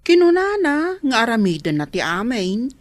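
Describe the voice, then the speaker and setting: A woman's voice: fairly high pitch, sing-song, moderate pace, no echo. A woman with an Ilocano accent playing a nosy, teasing matchmaker of the household in a radio drama, frank and coaxing.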